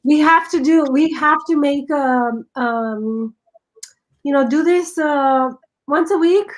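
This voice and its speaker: A woman with a foreign accent chatting casually on a live stream, excitable and quick to laugh, often restarting her sentences. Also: high-pitched. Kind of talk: casual chat.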